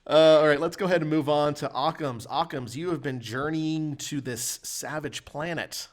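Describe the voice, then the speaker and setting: A man's voice: fairly high pitch, moderate pace. A man hosting a podcast, chatting casually with the others on the show. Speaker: podcast host.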